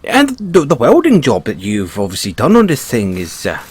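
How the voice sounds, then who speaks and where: Guy doing an irish bunny boy car mechanic, polite n nosy, super up and down pitch, bit husky, dry understatement, roleplay audio. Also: wildly swooping pitch, normal speed. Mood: tactful, wry. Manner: gently probing, wry understatement, hesitant phrasing.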